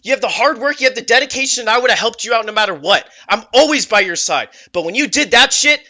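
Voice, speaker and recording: A man's voice: very high-pitched, lively intonation, fast talker, sharp tone. Upset man ranting in a clip. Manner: upset, heated, bleeped swearing. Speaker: pro gamer in a clip. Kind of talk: emotional vlog rant.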